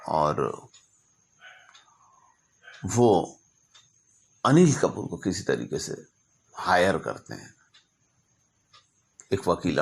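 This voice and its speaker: A man narrating stories informally as an astrologer, speaking deliberately in short phrases broken by pauses. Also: deep voice, creaky. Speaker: astrologer telling client stories.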